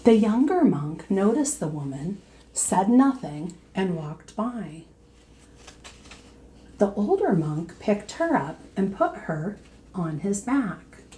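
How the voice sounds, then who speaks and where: A woman reading a children's storybook aloud in a deliberate teacherly way, pausing to put questions to young listeners. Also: mid-range voice, sing-song, warm tone, a little hiss or hum.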